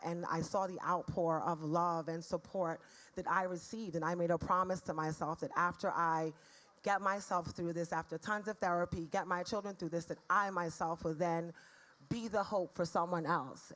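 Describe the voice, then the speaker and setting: A woman from the Caribbean with a raspy, somewhat low voice. She speaks as a panelist, earnest and frank, telling her own story to a room. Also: steady tone, moderate pace, fairly bright tone.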